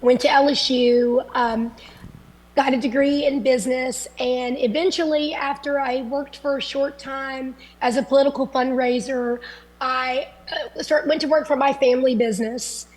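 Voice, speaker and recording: A woman's voice: sits high, steady tone, moderate pace, darkish tone, rough recording. A woman from Louisiana, a political candidate who is sick, answering forum questions over a video call in an earnest, rambling way with many restarts.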